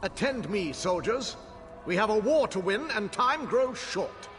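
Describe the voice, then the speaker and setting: A man playing an ancient elf war leader in a video game, imperious and proud, speaking in a formal, commanding, deliberate way. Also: high-pitched, lively intonation, a bit bright. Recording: long hall reverb, music behind.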